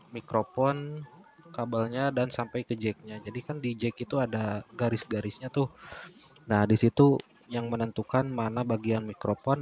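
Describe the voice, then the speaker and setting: A man's voice: mid-range voice, darkish tone. A man narrating a casual DIY tutorial in an explanatory way, often repeating phrases. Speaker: DIY vlogger.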